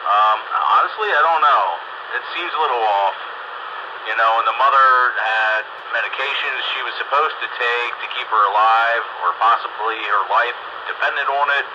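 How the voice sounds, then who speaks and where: Halting man on a muffled, noisy radio. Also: medium pitch. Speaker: amateur radio operator on a group net. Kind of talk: casual radio chat. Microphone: two-way radio microphone.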